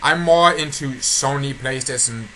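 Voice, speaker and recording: A man's voice: medium pitch, measured pace, sharp tone. A man giving a casual, rambling update for his video channel, often repeating himself and restarting sentences. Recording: noticeably noisy amateur home recording.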